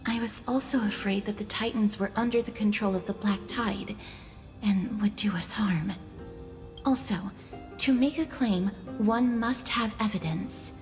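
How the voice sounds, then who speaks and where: A woman playing a polite, perceptive young scholarly heroine in an anime game dub, speaking softly and formally. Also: medium pitch, a little slow, lightly acted. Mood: calm explaining. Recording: game music behind.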